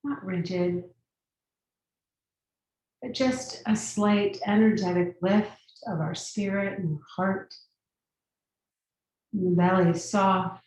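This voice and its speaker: Slow-speaking woman in a very echoey room. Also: medium pitch, fairly even intonation. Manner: gentle, unhurried instruction with long pauses. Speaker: meditation teacher. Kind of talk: guided meditation. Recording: very echoey room, distant mic.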